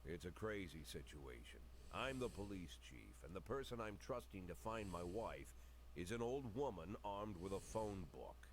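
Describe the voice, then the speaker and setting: A man's voice: sits low, very animated, moderate pace, a little hiss or hum. A man playing a bitter, world-weary older police chief in a video game, speaking in a dry, brooding noir style. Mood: bitter, wry. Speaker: video game narrator and lead character. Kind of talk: dramatic noir monologue.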